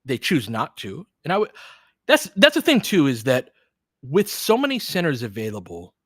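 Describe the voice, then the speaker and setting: A man's voice: mid-range voice, wildly swooping pitch, normal speed, a bit bright, no echo, clean studio sound. A man hosting a casual live sports talk stream, thinking aloud and posing rhetorical questions to make his points. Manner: thinks aloud, poses rhetorical questions, stresses points with check-ins like "right?". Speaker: host of a live basketball audio play-by-play and discussion stream. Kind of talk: casual live sports analysis, replying to chat.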